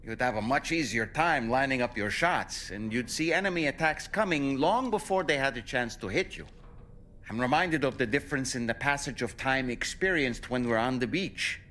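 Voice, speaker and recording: A thoughtful scientific adviser in a video game. He speaks formally and articulately at a medium pitch with lively inflection, over faint noise. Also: moderate pace. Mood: explanatory. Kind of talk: video game dialogue acting.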